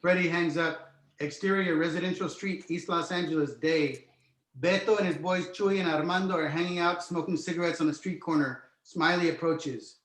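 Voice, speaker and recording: Higher-pitched male narrator, echoey room. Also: steady tone, moderate pace, fairly bright tone. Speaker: narrator reading stage directions. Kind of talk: scripted narration, screenplay table read.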